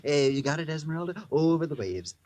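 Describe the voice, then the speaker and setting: Excitable male theatrical showman, played by an actor, fifties radio drama, higher-set lively voice, effusive and emphatic. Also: fast talker, natural voice. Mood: coaxing, delighted. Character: excitable theatrical showman who trains singing mice. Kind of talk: 1950s radio drama acting. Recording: vintage 1950s radio broadcast.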